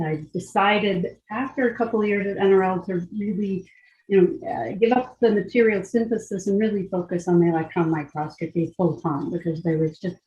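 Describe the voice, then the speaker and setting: A woman with a fairly low voice talks informally as a research scientist on a panel. The sound is roomy and somewhat dull, as on a video call. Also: mellow. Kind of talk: informal career account on a panel.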